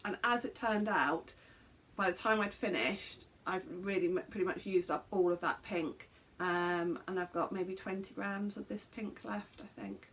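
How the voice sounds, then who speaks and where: A middle-aged English woman chats to camera from a small room at home, her voice at an ordinary middle pitch. She wanders and corrects herself with lots of "um"s as she hosts her podcast. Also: fairly bright tone. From England. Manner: chatty, rambling with many fillers and self-corrections. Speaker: podcast host. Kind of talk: casual chat to camera. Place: small bedroom craft room, indoors.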